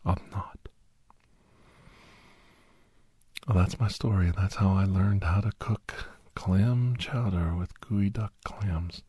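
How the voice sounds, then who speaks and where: A weary, wry doctor acted by a man recounts his adventures in an ASMR story. His voice is very husky and breathy, often sinking into a whisper, low and soft-edged. The delivery is deadpan and intimate, recorded close and dry. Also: measured pace, no room sound. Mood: wistful, wry. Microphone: close, dry microphone.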